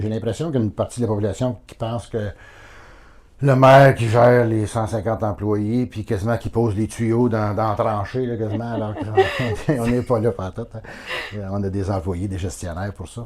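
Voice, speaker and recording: A man's voice: on the low side, steady tone, a little fast, a little mellow. A man in his fifties with a Québécois accent, a town mayor talking casually and plainly as an interview guest. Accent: Québécois French. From Quebec. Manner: plain-spoken, folksy, with frequent fillers.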